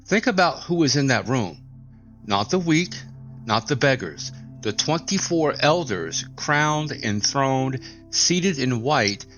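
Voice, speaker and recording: A man narrating a scripted, dramatic Bible explanation in a solemn, deliberate way with emphatic pauses. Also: mid-range voice, sing-song, fairly bright tone. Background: music.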